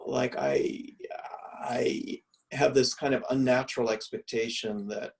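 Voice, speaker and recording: Mellow gravelly-voiced male professor, musing. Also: mid-range voice, fairly even intonation, measured pace, far from the mic. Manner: mellow, musing, many restarts and fillers. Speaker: art history professor. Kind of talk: reflective conversational answers. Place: room at home.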